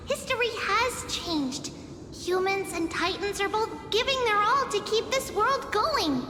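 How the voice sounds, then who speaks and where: A woman voicing an earnest young heroine in a game, planning deliberately to save her doomed world. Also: very high-pitched. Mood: hopeful. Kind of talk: game voice acting. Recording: echoey long reverb, clear music behind.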